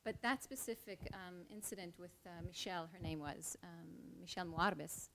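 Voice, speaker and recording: A woman's voice: lowish voice, moderate pace, crowd noise. A middle-aged woman from Israel, speaking earnestly on a panel as an HR leader and telling a personal story.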